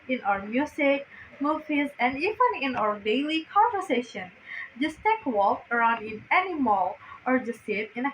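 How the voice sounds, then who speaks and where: High-voiced Indonesian teen girl giving a speech. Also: a bit bright, a little hiss or hum, rough recording. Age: teens. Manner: earnest, deliberate, speech-contest delivery. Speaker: student speaker. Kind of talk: prepared persuasive speech.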